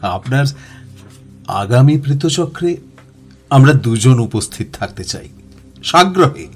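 A man's voice: mid-range voice, expressive, slow pace, slightly rough, soft background music. A man playing an earnest, polite ghost investigator in a horror audio drama, speaking formally and inquisitively. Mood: respectful, eager.

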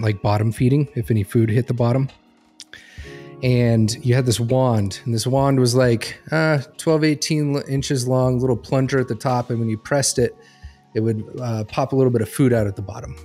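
A man's voice: mid-range voice, dark tone. A man telling anecdotes in casual podcast talk. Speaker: podcast speaker on behavior analysis.

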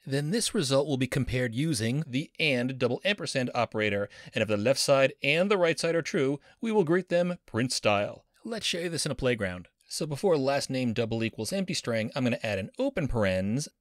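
A man's voice: medium pitch, dry recording, studio quality. A man teaching a coding lesson as a professor, playful and enthusiastic, with pop-culture jokes. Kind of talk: screen-recorded coding lesson.

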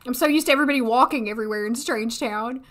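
Hoarse woman in her 30s, high bright voice, rambling through her game at home. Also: very fast. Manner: chatty, thinking aloud, frequent little laughs. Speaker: streamer. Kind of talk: casual gameplay commentary. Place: home room, seated at desk.

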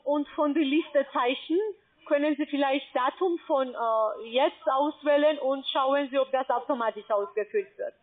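Lady phone agent, broken grammar, high voice, phone-line sound. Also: unhurried. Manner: instructing step by step, broken grammar. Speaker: scam call center agent. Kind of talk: phone call.

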